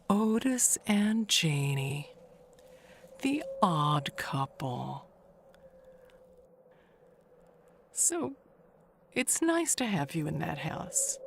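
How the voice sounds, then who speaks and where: Slow, halting, nervous neighbor woman, acted. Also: fairly low pitch, slight background noise, exaggerated voice. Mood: musing. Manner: halting, rambling, trailing into hushed musing. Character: Agnes, chatty, nervous, wistful lonely neighbor woman. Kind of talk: dramatic monologue in an audio mystery play.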